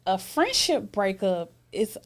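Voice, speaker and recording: A woman's voice: mid-range voice, sing-song, takes it slowly. A woman hosting a casual group conversation as a therapist, speaking in a candid, emphatic and playful way. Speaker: therapist host leading a panel conversation. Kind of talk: casual conversational talk about mental health and relationships.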